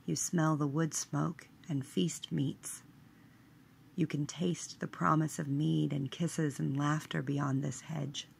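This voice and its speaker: A woman with a low voice and a gravelly creak reads a guided meditation. Her delivery is very slow and measured, hushed at the ends of phrases. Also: little pitch movement, a little hiss or hum.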